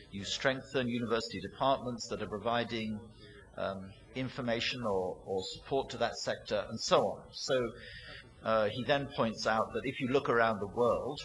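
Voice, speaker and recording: A man at a seminar asking a long, thoughtful question, pausing often with fillers. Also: fairly low pitch, fairly bright tone, rough recording. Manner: thoughtful, with frequent pauses and "um"s.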